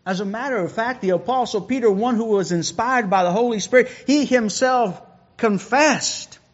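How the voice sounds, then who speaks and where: A man's voice: high-pitched, moderate pace, muffled recording. A man preaching a sermon who often repeats words as he builds a point.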